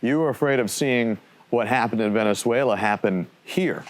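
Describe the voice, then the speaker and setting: A man reporting for TV news, asking measured, clear interview questions. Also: medium pitch, a little hiss or hum.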